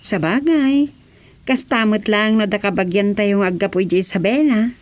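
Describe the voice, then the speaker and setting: A woman's voice: medium pitch, normal speed, lightly acted. A woman acting as a curious, practical young village wife in a conversational radio drama. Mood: curious, agreeing.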